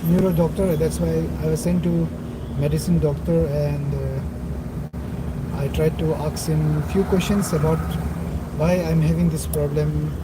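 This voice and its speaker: A young man with a husky, dry voice gives rambling, self-correcting commentary while driving through a city at night. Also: fairly high pitch. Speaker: vlogger. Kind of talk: casual narrated drive vlog. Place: in a car on a city road at night. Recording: loud road and car noise. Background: traffic and engine noise.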